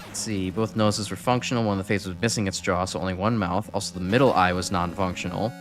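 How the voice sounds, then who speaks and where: Chicago guy streaming a game, lowish voice, rambly, faint music behind. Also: steady tone, quick pace. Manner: rambling, self-correcting, chuckling. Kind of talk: casual game stream chat.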